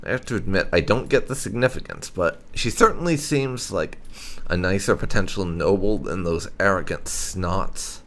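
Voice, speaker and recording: A man's voice: low voice, lively intonation, normal speed, fairly bright tone. A man doing roleplay-style commentary as he plays a fantasy game, musing aloud with thoughtful hums. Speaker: gamer, let's player. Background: game ambience.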